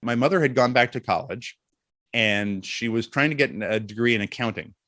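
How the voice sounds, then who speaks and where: A middle-aged man chatting casually as an interview guest, telling jokey anecdotes in an animated way. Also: medium pitch, normal speed, no room sound.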